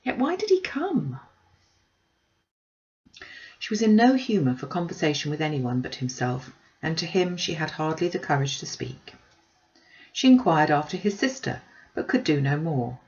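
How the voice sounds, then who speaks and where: A woman's voice: low-pitched, big pitch swings, normal speed, darkish tone, dull audio. A woman reading a classic novel aloud as scripted audiobook narration.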